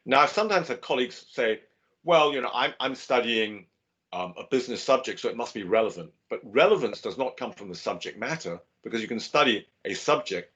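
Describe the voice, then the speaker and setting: An older man, a business professor, giving a measured webinar lecture with occasional fillers and restarts. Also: medium pitch, animated, a bit bright, slightly rough. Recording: dull lo-fi webinar audio.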